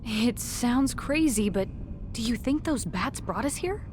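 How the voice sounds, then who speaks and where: A woman voicing an earnest, excitable young companion in a fantasy video game. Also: on the high side, measured pace, background noise. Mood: wondering. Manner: earnest, wondering. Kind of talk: video game cutscene dialogue.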